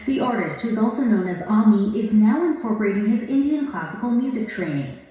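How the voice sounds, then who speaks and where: A woman reporter reading a scripted news report in a measured, even way. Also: medium pitch, heavy reverb, recorded from a distance, rough recording.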